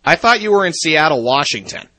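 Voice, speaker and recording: A man's voice: a little high, very animated, brisk, pushed and bright, no echo. A man playing an irritable, foul-mouthed young secret agent, sarcastic and exasperated, in a video game parody.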